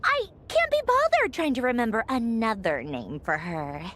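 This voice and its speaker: A woman's voice: fairly high pitch, big pitch swings, moderate pace, a bit bright, slight background noise. A woman playing a proud, short-tempered, grumbling female villain officer in a video game, with a haughty, blunt manner. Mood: grudging. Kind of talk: English video game voice acting. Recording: background music under the voice.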